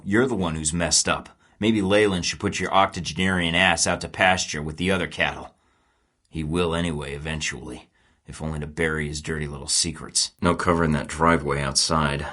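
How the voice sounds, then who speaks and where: A man's voice: deep voice, a bit bright. An actor playing a wisecracking young male rogue spy in a video game, sarcastic and taunting. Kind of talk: game voice lines.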